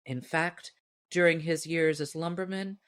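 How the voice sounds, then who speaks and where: A woman narrating a storybook as an audiobook reader, in expressive scripted narration. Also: low voice, a little slow, no room sound.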